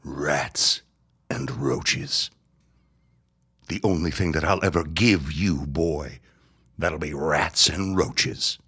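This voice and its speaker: A man's voice: extremely low pitch, slow, long pauses, fairly bright tone, slightly rough, exaggerated voice. A man playing a bitter, vengeful old dying reverend in a period audio drama, speaking in a grandiose, scornful way. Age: older. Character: bitter, vengeful, near-death old reverend and landowner father.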